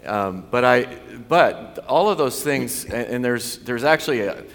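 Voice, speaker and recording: A man's voice: medium pitch, expressive, measured pace, a bit bright. A middle-aged man from the Pacific Northwest, a college president giving a candid, reflective personal testimony with a self-deprecating streak. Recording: large hall with long reverb.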